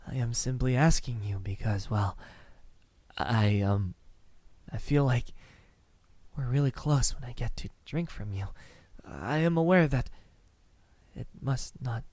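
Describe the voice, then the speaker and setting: Guy doing a romantic vampire asmr roleplay, soft n teasing, really slow, kinda formal, super dry close sound. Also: mid-range voice, no echo. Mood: earnest, flustered. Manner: hesitant, soft-spoken, formal wording with lingering pauses. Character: lovestruck, teasing, courteous male vampire courting a hunter. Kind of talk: intimate ASMR roleplay acting.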